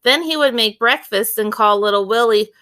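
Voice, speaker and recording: A woman reading a children's book aloud as an expressive storyteller, with deliberate, clear phrasing. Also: fairly high pitch.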